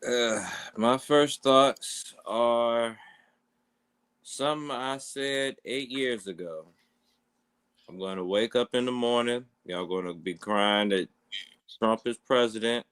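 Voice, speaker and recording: A man's voice: medium pitch, slow, fairly bright tone, smooth. A man co-hosting a podcast, giving blunt, unbothered opinions in casual chat. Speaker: podcast co-host.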